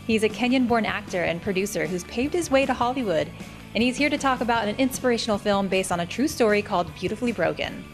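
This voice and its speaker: A woman's voice: medium pitch, animated, fast, music in the background. Woman hosting an interview. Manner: friendly, laughs often. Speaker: interview host. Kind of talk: casual interview.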